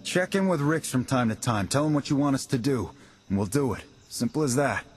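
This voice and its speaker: Scrappy, resentful enslaved miner male actor, folksy and plain-spoken, average pitch, for a video game. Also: expressive, a little fast, soft background music, close to an everyday voice. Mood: bitter, eager.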